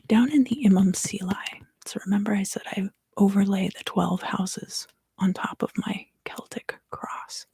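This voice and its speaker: A woman giving an ASMR tarot reading, soft-spoken and often whispering, explaining things unhurriedly in short phrases, close to the microphone. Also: medium pitch, unhurried, gravelly, breathy edge, no echo. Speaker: tarot reader and ASMR host.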